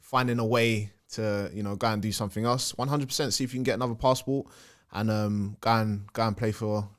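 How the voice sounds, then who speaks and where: A man's voice: on the low side, steady tone, fairly quick. A young man, a footballer guest chatting casually with lots of "you know what I mean". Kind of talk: casual podcast chat.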